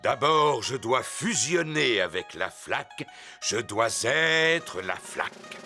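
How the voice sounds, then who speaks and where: A man voicing a big, earnest daddy pig in a French cartoon, speaking slowly and gravely with self-importance. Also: mid-range voice, expressive, sharp tone, soft background music, full character voice. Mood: solemn focus. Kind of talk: French cartoon dub acting.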